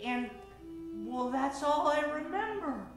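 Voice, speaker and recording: A woman playing an excitable, sheepish young boy sidekick in an old-time radio comedy. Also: high voice, slow pace, exaggerated voice. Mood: sheepish, confused. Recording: very echoey stage, music behind.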